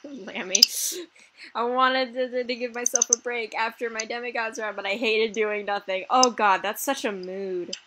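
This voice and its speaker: A young woman co-hosting a casual livestream, loud and bubbly, laughing often. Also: a little high, steady tone, normal speed, noisy background. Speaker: livestream co-host.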